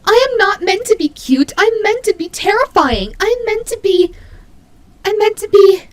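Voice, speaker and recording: A woman's voice: extremely high pitch, very animated, normal speed. A woman voicing a cute female demon bunny, proud and grudging, who stammers huffily in a scripted audio roleplay. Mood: flustered indignation. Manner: indignant, stammering, huffy.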